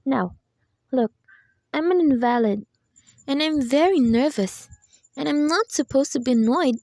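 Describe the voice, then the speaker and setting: A woman's voice: high-pitched, animated, a little slow, darkish tone, no echo, clean studio sound. A woman playing a nervous, irritable bedridden woman in a radio play, querulous, demanding and melodramatic. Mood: irritated, indignant.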